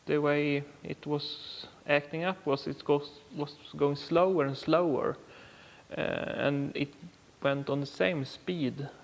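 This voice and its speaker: Slow, gravelly Swedish man in a workshop. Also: fairly high pitch, steady tone, big-room sound. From Sweden. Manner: halting, many ums and uhs, dry humor. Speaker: DIY car repair vlogger. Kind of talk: casual workshop commentary.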